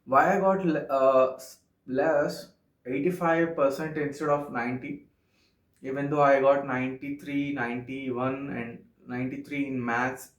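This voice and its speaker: A man's voice: mid-range voice, flat delivery, dull audio. Earnest Telugu-accented man, echoey room. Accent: Telugu-accented English. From Andhra Pradesh, India. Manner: earnest, halting, frequent "okay" fillers. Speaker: vlogger. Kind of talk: personal vlog monologue.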